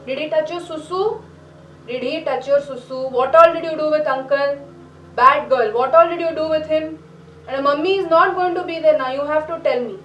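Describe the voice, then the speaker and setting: A woman's voice: high voice, normal speed, fairly bright tone, a little hiss or hum. An actress playing an insensitive doctor with an Indian accent, insistent and patronising as she coaxes a child in a film. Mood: pushy, coaxing. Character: insensitive, pushy woman doctor questioning a child. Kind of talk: dramatic film acting. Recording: roomy, distant, music behind.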